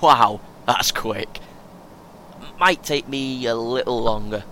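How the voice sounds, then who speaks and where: A man playing a bright-voiced, cheeky young British lad. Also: slow pace. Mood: casual. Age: young adult. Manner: casual, laddish. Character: cheeky, chatty young male marathon runner, 23. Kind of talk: audio play dialogue. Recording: clear vehicle rumble noise. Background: vehicle ambience.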